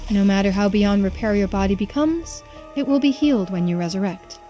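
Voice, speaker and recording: A woman voicing a stern, all-knowing authority on immortality in a visual novel game, with formal, deliberate pronouncements. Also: mid-range voice, dark tone, soft background music, close to an everyday voice. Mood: grave, matter-of-fact.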